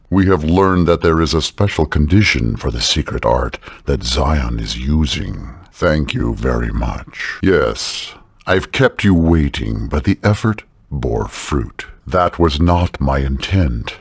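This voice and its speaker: A man voicing a grave, formal scholar in a video game, speaking in a measured, deliberate way. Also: a bass voice, lively intonation, slightly rough, no echo, heavily acted. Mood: grave, ceremonious. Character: grave, formal male scholar serving a dark lord.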